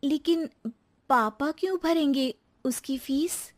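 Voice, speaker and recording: Woman acting an earnest, very high-voiced young wife. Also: takes it slowly. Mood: hurt, protesting. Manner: polite, earnest protesting. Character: earnest, dutiful young daughter-in-law with quiet resolve. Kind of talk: acted moral-story dialogue.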